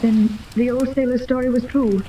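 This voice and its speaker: A woman's voice: fairly high pitch, fairly even intonation, moderate pace, mellow, close to an everyday voice. An actress in a 1930s radio serial playing an anxious, genteel mother, polite and earnest in manner. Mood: polite, uneasy. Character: anxious, genteel wealthy mother seeking her lost daughter. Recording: distant, faintly noisy old radio recording.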